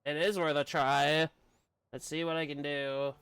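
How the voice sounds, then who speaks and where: A man's voice: fairly high pitch, flat delivery, measured pace, fairly bright tone, smooth, dry recording. A man streaming a video game, giving casual commentary in short, trailing reactions.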